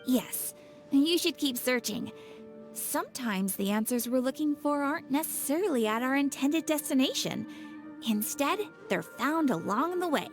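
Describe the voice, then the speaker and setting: An easygoing young guild receptionist off duty, with a high, lively voice. She talks casually and drifts into gentle life advice, and soft game music plays underneath. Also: normal speed. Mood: relaxed. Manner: casual, easygoing, a little philosophical. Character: relaxed young woman, guild receptionist on break. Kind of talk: game cutscene voice acting.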